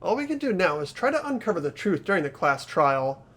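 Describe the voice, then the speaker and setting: A man playing an earnest, uneasy high school boy in a fan-dubbed visual novel. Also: big pitch swings, brisk, fairly bright tone, exaggerated voice.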